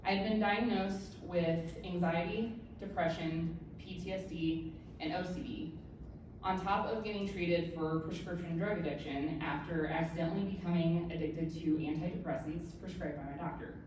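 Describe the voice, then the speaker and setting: A woman's voice: lowish voice, fairly even intonation, normal speed. Candid woman speaking in an echoey room. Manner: candid, self-deprecating humor. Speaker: author giving a speech. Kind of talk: personal speech to an audience. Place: event room with an audience. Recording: echoey room, distant mic, background noise.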